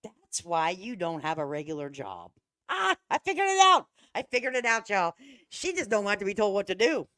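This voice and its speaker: Female reaction streamer, casual and folksy, mocking delivery with very lively intonation. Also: mid-range voice, moderate pace. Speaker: livestream host. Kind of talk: reaction livestream commentary, casual chat.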